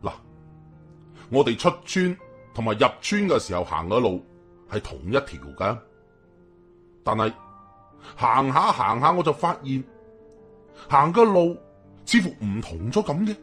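A man's voice: medium pitch, big pitch swings, a little slow, long pauses, fairly bright tone, soft background music. A man with a Hong Kong Cantonese accent telling a story in a colloquial, suspenseful, dramatic narration.

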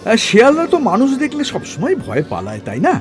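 Man acting a senior trek leader, cautious, explanatory, lively pitch, audio drama, music underneath. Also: fairly quick, exaggerated voice. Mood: reasoning, uneasy.

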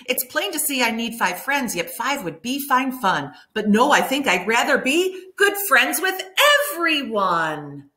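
Bright, lively woman reading to children. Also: a little high, a little slow, few pauses. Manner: animated, enunciated for young children. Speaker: teacher reading aloud to children. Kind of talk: expressive children's picture book read-aloud. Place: room at home by a window, indoors.

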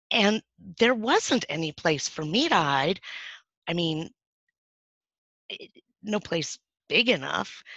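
A woman acting as a frightened young girl in a first-person dramatic monologue, telling it in a halting, hesitant way with many restarts. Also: fairly low pitch, sharp tone, dry recording, exaggerated voice. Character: frightened young girl hiding in her bed. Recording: dull, dry lo-fi recording.

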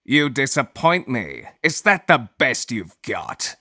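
A gravelly, sharp, arrogant game villain. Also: male voice, medium pitch, huge pitch swings, dry recording, studio quality, exaggerated voice. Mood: cold contempt. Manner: deliberate, contemptuous, menacing. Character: cold, arrogant, menacing male villain taunting his prey. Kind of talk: video game villain acting.

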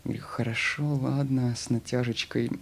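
A man's voice: medium pitch, fairly even intonation, a little fast, vocal fry. A man in his thirties, an actor interviewed in a studio, talking at length with many hesitations. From Russia. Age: 30s. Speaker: guest actor. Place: studio with logo backdrop. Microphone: studio mic on stand.

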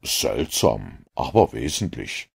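A man voicing a calm, superior detective in an audiobook, measured and dryly condescending. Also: rumbling low, gravelly, slightly rough, pitched below the performer's own voice, full character voice.

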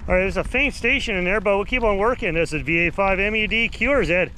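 A middle-aged man from Canada riding a bicycle outdoors, making ham radio calls into a handheld mic in brisk, friendly call-sign patter. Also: sits high. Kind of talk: on-air radio calls while cycling. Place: outdoors on a bicycle, residential street. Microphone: handheld radio mic plus helmet camera. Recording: noisy outdoor recording.

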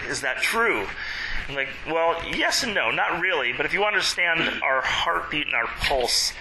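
A pastor speaks at a church workshop in a loose, joking way, with a very bright, forceful tone. His words echo around the room. A faint noise sits beneath. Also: medium pitch. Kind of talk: workshop talk.

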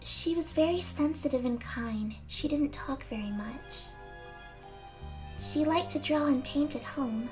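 A young woman voicing a gentle, frail, wistful teenage girl in a video game fan dub, speaking softly and earnestly. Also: high voice, a little slow. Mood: reminiscing. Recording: amateur fan dub over game music. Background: game music.

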